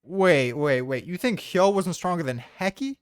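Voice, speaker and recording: Blunt, higher-pitched man in casual debate. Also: lively intonation, moderate pace, clean tone, no echo, clean studio sound. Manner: blunt, profane, combative. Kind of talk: casual debate about a manga ranking.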